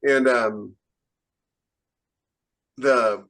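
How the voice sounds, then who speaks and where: A man's voice: medium pitch, fairly even intonation, very slow, a bit bright. A man speaking emphatically and with firm opinions in a casual panel chat about writing, as a podcast co-host. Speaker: podcast co-host and fiction author.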